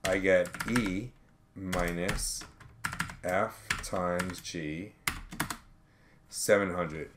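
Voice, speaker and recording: Man, deep voice, accounting instructor, measured step-by-step explanation, thinking aloud, slight background noise, typing clicks. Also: steady tone. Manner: measured, reasoning aloud through calculations.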